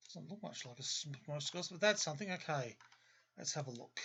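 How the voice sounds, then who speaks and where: A man's voice: a little high, expressive, a little fast, bright, forceful tone, slight background noise. An Australian man hosting a gaming video, thinking aloud in casual commentary and often qualifying or correcting what he says. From Australia, grew up in Melbourne. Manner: discursive, self-correcting, qualifies his statements. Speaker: host of a gaming video series.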